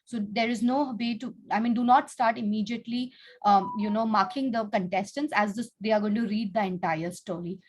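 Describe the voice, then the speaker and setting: A woman with a fairly high-pitched voice speaks as a teacher and host on an online video call. She addresses children in an instructive way, laying out rules and prompting them with questions. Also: fairly even intonation, brisk, darkish tone.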